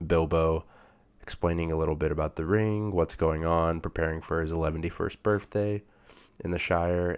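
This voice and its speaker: A man's voice: extremely low pitch, gravelly, smooth. A young man chatting casually through a book review, his voice a bit off as he recovers from being sick. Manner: chatty, informal slang, frequent fillers. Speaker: booktube reviewer.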